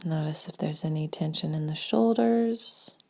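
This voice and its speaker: Woman doing guided meditation, real slow and mellow, soft breathy voice that kinda fades to near whisper. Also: deep voice. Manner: slow, gentle, spaced-out phrasing with long pauses.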